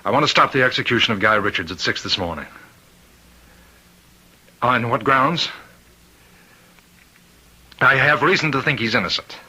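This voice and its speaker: An actor playing an anguished, weary prison warden in an old radio drama, halting and strained. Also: male voice, medium pitch, sharp tone, breathy edge, poor audio. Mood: urgent, pleading.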